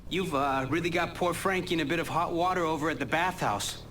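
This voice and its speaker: A man's voice: a little high, fairly quick, fairly bright tone, a little hiss or hum, close to an everyday voice. A man playing a chatty, wisecracking, eager tabloid reporter in a crime game cutscene. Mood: wry, eager.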